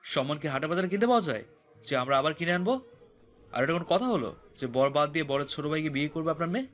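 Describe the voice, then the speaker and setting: A man's voice: on the high side, expressive, fast talker, a bit bright. A man playing an angry, accusing father of a groom, indignant and threatening, in an audio drama. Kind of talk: audio story acting. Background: music.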